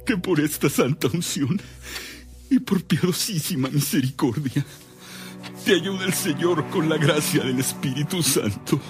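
A man's voice: fairly high pitch, measured pace, faint music behind, lightly acted. A man acting a solemn, grieving priest in a radio drama, with a ceremonial delivery. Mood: solemn, resigned. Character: solemn grieving priest at his dying brother's bedside.

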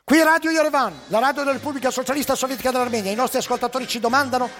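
Man putting on a high, pompous Soviet radio announcer. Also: rapid-fire, fairly bright tone. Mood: ceremonious. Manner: declamatory, formulaic official delivery. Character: pompous male Soviet Armenian radio announcer answering listeners. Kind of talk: satirical stage parody of propaganda radio. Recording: long reverberant hall, music behind.

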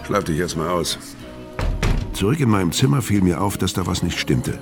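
A world-weary hitman on the run narrates in a very low voice. He is laconic and brooding, in noir radio-drama style, with clear music and street ambience behind him. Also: animated. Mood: tense, watchful. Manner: laconic, deliberate, matter-of-fact.

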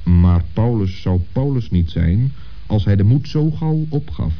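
Male narrator for children's tales, lower register, slightly muffled old recording. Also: animated, measured pace. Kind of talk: scripted children's story narration.

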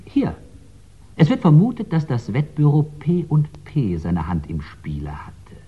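Chatty male racing enthusiast, husky and swooping in pitch, musing helpfully, dull vintage radio sound. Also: huge pitch swings, a little slow, heavily acted. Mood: excited. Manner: eager, musing as he recalls. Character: helpful, chatty horse-racing buff in newspaper archive. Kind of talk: 1960s German radio crime drama acting. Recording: dull 1960s radio recording.

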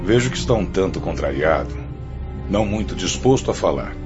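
A Brazilian man playing a gentle, fatherly spirit counselor in a radio drama, kindly and patient. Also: low voice, lively intonation, a little fast. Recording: dull lo-fi audio under music. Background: background music.

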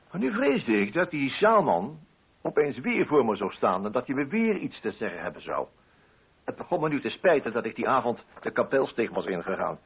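An actor playing a pompous, prudish middle-aged coffee broker with a Netherlands Dutch accent in a radio play monologue. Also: male voice, medium pitch, full character voice. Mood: fretting. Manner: pompous, fussy, self-righteous. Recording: husky, narrow-band old radio recording.

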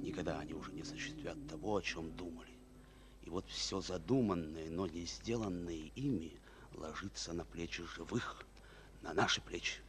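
An actor playing a resolute, earnest Soviet army captain in a declamatory, emphatic mid-century radio drama style. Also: male voice, low-pitched, normal speed, background noise, natural voice. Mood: pensive, subdued. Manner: declamatory, emphatic, swinging between hushed and ringing.

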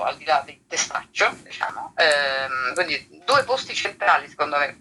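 A man's voice: a little high, pushed and bright, a little hiss or hum, rough recording. A man talking casually as a radio interview guest, with an informal, colloquial and playfully ironic manner. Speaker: interview guest, theatre project organizer and tutor.

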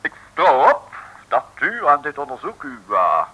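Sharp, swooping, pompous professor. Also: male voice, on the high side, huge pitch swings, slightly rough, highs cut off, full character voice. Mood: formal, self-important. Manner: hesitant, formal, full of drawn-out ehs. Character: pompous male professor, politely sinister skull researcher. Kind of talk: old radio serial acting. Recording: old radio recording.

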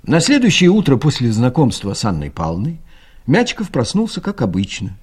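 A man narrating an audio drama as a wry storyteller, reading scripted literary prose in a measured way. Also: mid-range voice, wildly swooping pitch, darkish tone, breathy edge, faint music behind. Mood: dryly ironic.

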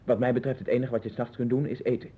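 Restless, obsessive man, played by a male actor, husky, Netherlands accent, rambling and insistent; 1960s radio play, tinny narrow-band sound. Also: mid-range voice, steady tone, racing, close to an everyday voice. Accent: Netherlands Dutch. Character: restless, obsessive man sinking into a strange inner world. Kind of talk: 1960s Dutch radio drama acting.

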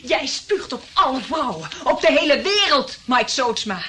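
A woman's voice: high voice, very animated, moderate pace, bright, forceful tone, slight background noise, exaggerated voice. An actress playing a scornful, disgusted woman in a radio play, with a dramatic, cutting delivery. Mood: contemptuous. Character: scornful, disgusted woman turning on her lover.

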